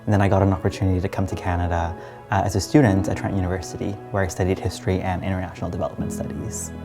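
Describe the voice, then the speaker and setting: A man's voice: deep voice, flat delivery, racing, music in the background. A young man from Trinidad and Guyana telling his personal story in a reflective, earnest way, as in a documentary interview. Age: young adult.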